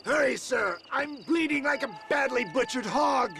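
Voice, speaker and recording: A theatrical old man in pain in a western game. His very high, gravelly voice sounds weak and florid, with music clearly behind it. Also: lively intonation, moderate pace, exaggerated voice. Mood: pained, desperate. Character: wounded, melodramatic old male huckster, grateful, florid. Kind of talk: video game western acting.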